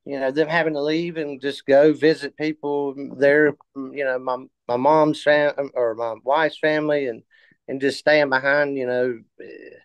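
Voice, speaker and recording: A man of fifty telling his story in a casual interview, halting with many fillers and restarts. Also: mid-range voice, fairly even intonation, smooth, dry recording, muffled recording. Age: 50s. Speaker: interview guest.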